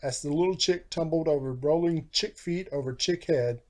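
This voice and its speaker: A man reading a children's storybook aloud in a theatrical, animated style with rhyming phrasing. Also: on the high side, moderate pace. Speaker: storybook narrator, author.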